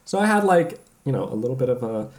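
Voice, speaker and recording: A woman's voice: rumbling low, big pitch swings, quick pace, darkish tone. A woman hosting a podcast in casual conversation, with an informal, teasing way of talking. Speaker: podcast host. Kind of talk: casual chat.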